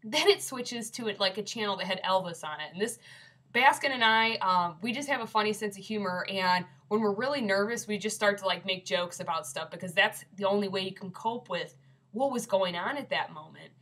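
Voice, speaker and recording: A woman's voice: medium pitch, fairly quick, a bit bright. A young woman with a congested, stuffy voice, chatting casually and rambling with lots of fillers as she tells a ghost story to a webcam. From Michigan. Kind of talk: casual video submission.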